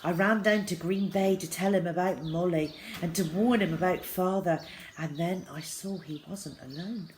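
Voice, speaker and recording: A woman narrating a children's novel aloud in an expressive, dramatic storytelling style. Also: on the low side, moderate pace. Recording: clear background noise with birdsong.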